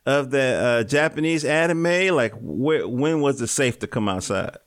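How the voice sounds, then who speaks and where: A man's voice: medium pitch, unhurried, dry recording. A man hosting a casual interview from a small home studio, chatty and laid-back with a joking streak. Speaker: podcast host. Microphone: home studio mic.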